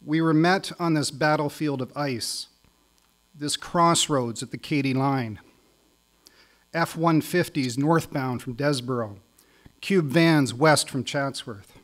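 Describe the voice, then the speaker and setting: A middle-aged Canadian man performing as a spoken word poet on stage, with a wry, deliberate delivery. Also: a little high. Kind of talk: live poetry recital with casual lead-in.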